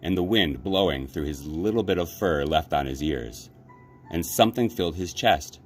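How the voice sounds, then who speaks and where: A man reading a children's novel aloud as a library storyteller, with measured, dramatic phrasing. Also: low-pitched, animated, soft background music. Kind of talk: children's audiobook-style read-aloud. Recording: clear background noise.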